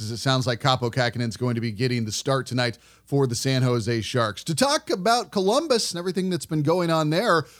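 A man hosting a sports show, talking conversationally as he gives hockey commentary and puts questions to a guest. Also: fairly high pitch.

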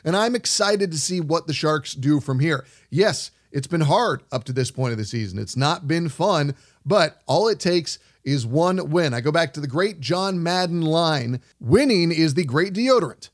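Guy hosting a sports radio show, voice a bit on the high side, talking hockey commentary style. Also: animated, moderate pace, hardly any pauses.

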